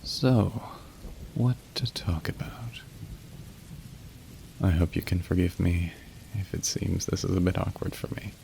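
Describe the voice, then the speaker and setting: A man's voice: low voice, a little slow, gravelly, dark tone, slight background noise, heavily acted. A man voicing a polite, wry incubus phone operator in an ASMR roleplay, suggestive yet awkward, with rain behind him. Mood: casual, chatty. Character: suave, awkward young male incubus working a phone line. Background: falling rain.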